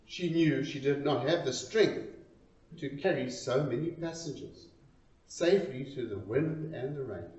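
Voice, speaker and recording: Male storyteller, slow and very animated delivery, dramatic pauses, recorded in a roomy space. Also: fairly high pitch. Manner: expressive, deliberate, pausing between short phrases. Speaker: reader of a poem. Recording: roomy, distant, dull lo-fi sound.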